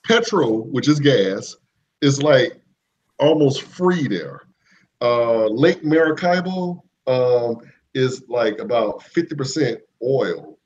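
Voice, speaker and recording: A man's voice: medium pitch, animated, slow, a little mellow. A man on a podcast panel, rambling casually with many fillers. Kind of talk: casual chat. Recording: dull remote line with faint noise.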